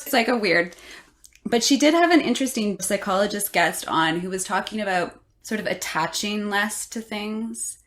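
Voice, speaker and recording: A woman's voice: fairly low pitch, a bit bright. A woman hosting a casual interview, asking long, exploratory questions in a discursive way. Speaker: podcast host, interviewer.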